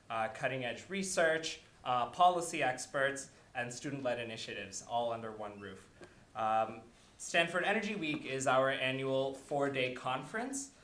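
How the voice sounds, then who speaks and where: Young male student organizer, mid-pitched, casual welcome speech with fillers, roomy lecture hall. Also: fairly bright tone. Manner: filler-heavy, listing events. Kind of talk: event welcome remarks.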